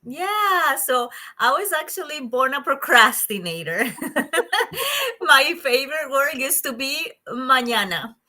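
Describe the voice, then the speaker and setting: A woman from Colombia with a Colombian Spanish accent, speaking as a psychologist guest in a conversational interview, animated and quick to laugh. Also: high voice. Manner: animated, laughs often, bright delivery.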